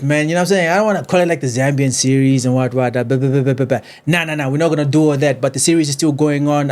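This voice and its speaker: A male podcast host with a somewhat high, energetic voice. He talks fast in casual street slang, hyping up his guest as he leads the interview.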